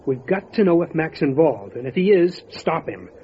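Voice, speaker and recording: A steady, determined county sheriff of radio drama, acted by a man, speaking plainly in a slightly high male voice. The recording is dull, with faint noise behind. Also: moderate pace. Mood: resolute. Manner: matter-of-fact, resolute. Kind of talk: old time radio drama acting. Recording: dull lo-fi audio with light noise.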